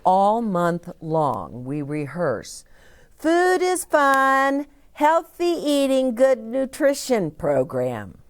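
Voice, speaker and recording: A woman reading a children's story aloud as a storyteller, in a deliberate, dramatic way with asides to young listeners. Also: fairly high pitch, wildly swooping pitch, no room sound, studio quality. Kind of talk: expressive children's read-aloud.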